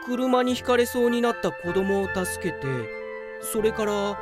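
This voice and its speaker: A woman's voice: fairly high pitch, a little slow, lightly acted. A woman acting as an earnest, self-absorbed, dramatic male office worker with big dreams in a comedic radio drama. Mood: bewildered. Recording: voice over clear background music.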